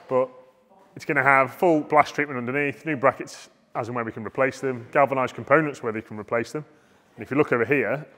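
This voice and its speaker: A middle-aged man talks through the parts in a relaxed, chatty way, pointing things out as he goes. His voice sits mid-range and carries a long reverb from the big workshop around his lapel mic. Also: mid-range voice. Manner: chatty, explains parts hands-on. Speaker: workshop owner showing projects. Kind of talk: casual walkaround explanation. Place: large vehicle workshop, indoors.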